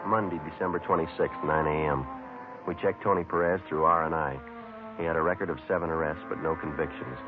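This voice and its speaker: A muffled vintage radio recording with music behind carries a police detective. He is low-voiced and quick, terse and unemotional, reporting facts in a deadpan way. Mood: flat, factual. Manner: clipped, deadpan, matter-of-fact. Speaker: actor and narrator in a police radio drama. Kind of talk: 1950s radio drama acting and narration.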